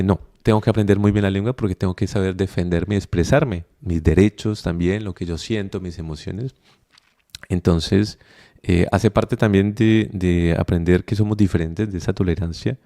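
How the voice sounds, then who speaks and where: A young adult man with a Colombian accent, interviewed on a podcast. His low voice has a gravelly edge and a dark, mellow color. He talks in a reflective, unhurried way, thinking aloud with fillers and restarts. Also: fairly even intonation. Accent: Colombian Spanish. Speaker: podcast guest, migrant. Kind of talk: conversational interview.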